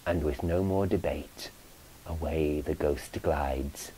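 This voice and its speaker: A man narrating a medieval romance in verse, with a measured, solemn and dramatic delivery. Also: extremely low pitch, warm tone, a little hiss or hum, poor audio. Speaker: narrator, reader of his own verse paraphrase. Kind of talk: dramatic poetry reading.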